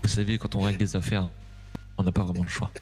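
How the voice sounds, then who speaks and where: A man's voice: low voice, little pitch movement, quick pace, music in the background, lightly acted. A man playing an unrepentant gangster at confession in a game role-play, speaking in a laconic, matter-of-fact way over a muffled voice chat. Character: unrepentant male gangster confessing to debt killings. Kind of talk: improvised online role-play in a video game.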